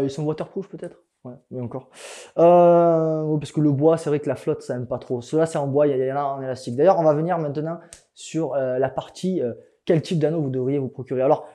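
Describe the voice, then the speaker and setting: Joking French fitness coach, mellow voice. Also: male voice, a little high. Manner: joking, chatty, laughs at himself, many fillers. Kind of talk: casual instructional vlog.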